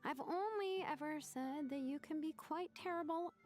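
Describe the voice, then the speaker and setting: Woman acting a high, laid-back sardonic young woman. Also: normal speed, soft background music, natural voice. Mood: defensive. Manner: casual, dry teasing. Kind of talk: anime video game voice acting.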